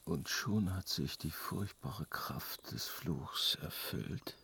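Ein Schauspieler spielt in einem Fantasy-Hörspiel einen grüblerischen, gebieterischen Götterkönig mit gewichtiger, theatralischer Art. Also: male voice, deep voice, slow, creaky, bright, forceful tone, slight background noise, heavily acted. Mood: brooding. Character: brooding, commanding king of the gods. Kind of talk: fantasy radio play acting. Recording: music behind the voice.

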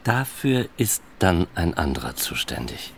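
Deep-voiced, placating official. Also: male voice, very animated, a little slow, full character voice. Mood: placating, curt. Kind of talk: radio play acting. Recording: clear background noise.

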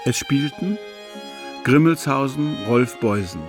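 A man reading radio drama credits as closing announcer in a formal, measured manner. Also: medium pitch, expressive. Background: string music.

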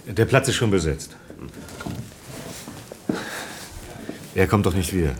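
An actor playing an exasperated, disapproving partner in a naturalistic radio play, stern and terse, with a very deep, rough-edged voice. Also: male voice, expressive, quick pace, noisy background. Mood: flat, withdrawn.

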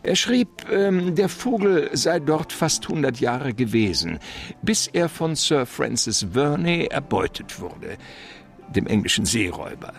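An actor playing an effusive, wily gentleman mastermind in a German crime radio play, lecturing in florid, theatrical phrases. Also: mid-range voice, big pitch swings, unhurried, vocal fry, faint music behind. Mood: savoring, conspiratorial.

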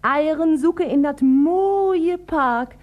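A woman's voice: sits high, slow pace, phone-quality sound. An actress playing a chirpy, mothering woman in a radio play. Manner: chirpy, sing-song, mothering. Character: Nancy, chirpy woman with German slips.

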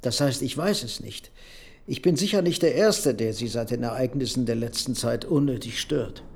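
An actor playing an outwardly courteous hatter with something to hide, speaking in a polite, measured way in a radio drama. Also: male voice, medium pitch, creaky, darkish tone, lightly acted. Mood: politely guarded.

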